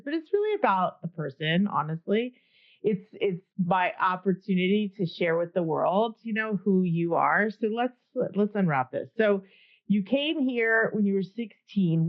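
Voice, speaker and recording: A woman's voice: medium pitch, animated, measured pace, muffled recording. A woman hosting a podcast, chatty and upbeat, interviewing a guest over a remote call. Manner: upbeat, chatty, laughs often. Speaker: podcast host. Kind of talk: casual interview chat.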